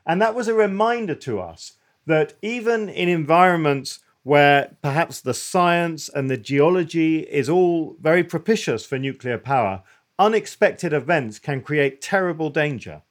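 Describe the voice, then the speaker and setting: A man's voice: fairly high pitch, expressive, a little slow. A man hosting an interview podcast, putting questions in a conversational, articulate way with frequent asides.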